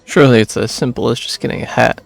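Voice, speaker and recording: A man's voice: medium pitch, steady tone, fast talker, vocal fry, faint music behind. A man streaming a video game, making wry, chuckling asides in casual commentary.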